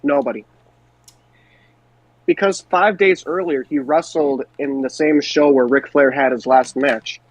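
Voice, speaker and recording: A man's voice: mid-range voice, a little slow, low-quality recording. A man co-hosting a podcast in casual conversation. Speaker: podcast co-host. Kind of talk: casual chat.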